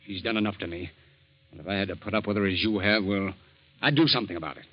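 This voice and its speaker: Brief: a man plays a sly scheming lawyer, lowish voice, dull vintage radio sound. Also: quick pace, natural voice. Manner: insinuating, menacing. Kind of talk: 1940s radio acting. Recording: dull old radio recording.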